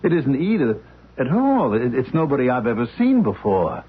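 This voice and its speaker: A man's voice: mid-range voice, huge pitch swings, normal speed, breathy edge. A skeptical, incredulous radio-drama man. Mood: amazed. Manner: incredulous, jumpy questioning. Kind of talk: 1970s radio drama acting. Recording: lo-fi broadcast sound, phone-like.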